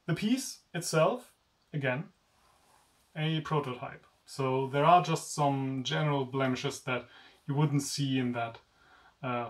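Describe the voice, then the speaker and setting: Gravelly German-accented young man. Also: mid-range voice, recorded from a distance. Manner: relaxed, self-deprecating, chatty with many asides. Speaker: sword reviewer. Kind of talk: casual spoken review. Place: indoor room with swords on wall rack. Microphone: camera at chest-up framing.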